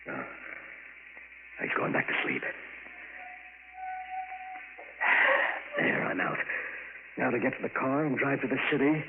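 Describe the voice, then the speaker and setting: An actor playing a cold, scheming middle-aged murderer in a vintage radio drama, speaking with deliberate theatrical menace on a thin, noisy old recording. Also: male voice, medium pitch, sing-song, phone-quality sound. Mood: furtive, scheming. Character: cold, scheming murderous father, outwardly smooth.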